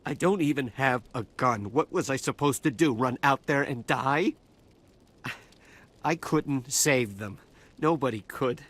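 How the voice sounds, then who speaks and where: An actor playing a cowardly, frightened dock worker in a video game, with a stammering, hesitant and defensive way of talking. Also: male voice, medium pitch, measured pace, fairly bright tone. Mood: shaken, defensive. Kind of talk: video game dialogue acting.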